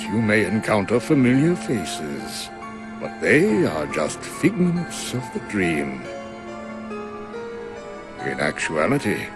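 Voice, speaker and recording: A wise old game sorcerer with a low voice. He speaks slowly and solemnly in formal phrases, his pitch rising and falling expressively, with music behind him. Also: heavily acted. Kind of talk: solemn expository game voice-over.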